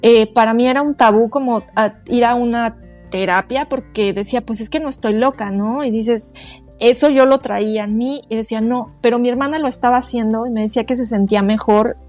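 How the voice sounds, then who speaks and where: Woman, 40s, higher voice, earnest and halting, soft music underneath. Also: fairly even intonation. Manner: halting, searching for words, earnest. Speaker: guest, quantum therapist. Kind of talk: casual interview conversation.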